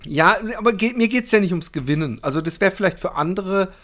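A man with a slightly high voice hosts a casual podcast conversation. He talks informally, with teasing jokes and some mockery of himself. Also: animated, a little fast, fairly bright tone. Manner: teasing, joking, self-mocking. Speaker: podcast host.

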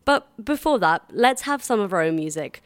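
Lady doing scripted radio announcing, lively pitch, super dry studio sound. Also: moderate pace, no room sound. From Brazil. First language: Portuguese. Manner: steady, informative read from a script. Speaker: radio music show host.

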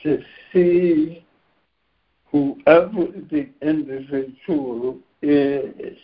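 A man losing his voice gives a reflective spiritual share on a group call, measured and clearing his throat now and then. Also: on the high side. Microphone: phone on a conference call. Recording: dull lo-fi conference call line.

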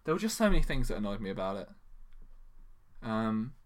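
A man's voice: on the low side, big pitch swings, a little fast. Casual, exasperated man chatting about films. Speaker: podcast co-host. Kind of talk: casual film review chat.

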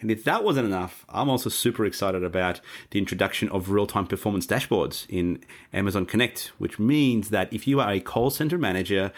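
A man's voice: lowish voice, animated, fairly quick. A man co-hosting a casual tech podcast, talking with an eager, promotional enthusiasm. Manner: enthusiastic, promotional, repeats "which is fabulous". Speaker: co-host.

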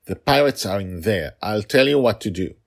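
Dude doing a bossy little girl dragon, firm and lively, bit husky. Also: fairly low pitch, normal speed, voice pushed up. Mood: commanding. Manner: firm, commanding. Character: young female dragon, serious and bossy.

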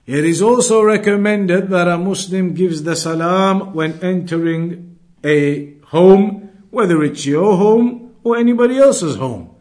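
A man teaching an Islamic lesson, explaining in a deliberate way and repeating his points. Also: sits high, darkish tone. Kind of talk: religious lesson, reading Arabic then explaining.

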